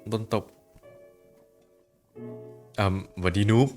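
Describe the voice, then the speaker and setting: A man hosting a reaction video, talking casually with excitable outbursts and repeated words. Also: slow, soft background music.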